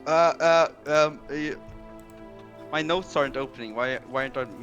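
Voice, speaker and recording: A man playing a tabletop game, flustered, loud and full of fillers. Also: mid-range voice, normal speed, muffled recording, faint music behind. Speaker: player. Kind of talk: tabletop roleplay over voice chat.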